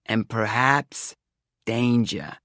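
A man's voice: mid-range voice, big pitch swings, dry recording, clean studio sound, voice pushed up. A man voicing a theatrical middle-aged woman fortune-teller with a thick Eastern European accent, portentous and insistent, in an audiobook. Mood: foreboding. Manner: portentous, dramatic pauses. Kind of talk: audiobook character acting.

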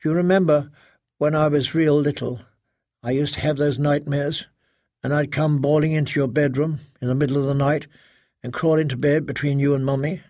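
A man voicing a frightened, fragile grown daughter in an audiobook, halting and stammering as she pleads like a child. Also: fairly high pitch, fairly even intonation, darkish tone, dry recording, clean studio sound, lightly acted. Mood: scared, pleading. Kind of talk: dramatic audiobook character reading.

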